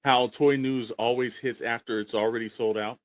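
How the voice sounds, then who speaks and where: A man's voice: medium pitch, steady tone, normal speed, dry recording. A man co-hosting a podcast with casual banter and easygoing ad reads. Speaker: podcast co-host.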